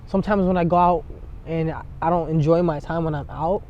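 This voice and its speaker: Laid-back young rapper, muffled outdoor audio. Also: male voice, fairly high pitch, fairly even intonation, a little fast, a little mellow, slight background noise. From San Diego, USA. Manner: laid-back, rambling, heavy on filler words. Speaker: rapper guest. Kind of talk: casual interview chat.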